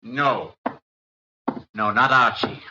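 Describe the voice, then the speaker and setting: An actor playing a menacing, impatient intruder with a gun in a 1950s radio drama. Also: male voice, medium pitch, fairly even intonation, drawn-out words. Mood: tense. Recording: dull, noisy vintage radio recording.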